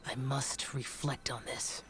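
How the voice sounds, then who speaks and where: A man's voice: medium pitch, little pitch movement, slow, a bit bright, faint music behind. An actor playing an aloof young male immortal demon slayer in a video game, grave, formal and brooding.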